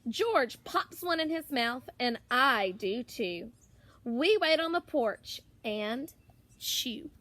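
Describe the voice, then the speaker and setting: A woman reading a children's picture book aloud as a teacher would, expressively, with drawn-out words and deliberate pauses. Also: high voice, animated, bright, forceful tone, no room sound. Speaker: primary school teacher.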